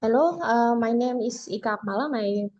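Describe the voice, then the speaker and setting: A woman from Indonesia with an Indonesian accent, presenting carefully over a video call with frequent pauses. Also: a little high, darkish tone, lo-fi sound. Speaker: presenter from a philanthropic organization. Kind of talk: online conference presentation.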